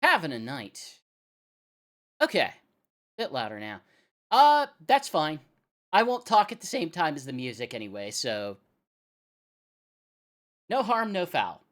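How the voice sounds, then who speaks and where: Low-voiced woman hosting a casual game stream. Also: huge pitch swings, measured pace, long pauses. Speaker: live music stream host. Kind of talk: casual chat while playing a rhythm game.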